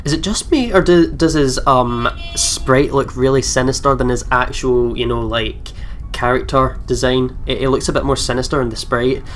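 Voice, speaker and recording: A man's voice: mid-range voice, normal speed, a little hiss or hum. A man doing let's play commentary and reading a game's text aloud in a chatty, reactive way. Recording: music behind the voice. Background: game music.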